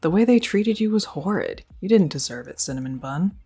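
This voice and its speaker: A woman's voice: lowish voice, expressive, fairly quick, mellow, slightly rough. A young woman performing a scripted girlfriend roleplay, speaking close to the mic in an affectionate, teasing and reassuring way.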